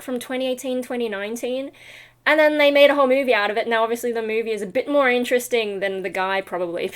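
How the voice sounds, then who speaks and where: Tired woman rambling casually to her viewers. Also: a little high. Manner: scattered, self-deprecating, informal. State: tired, not fully awake. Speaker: vlogger and metal vocalist. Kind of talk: casual rambling vlog chat.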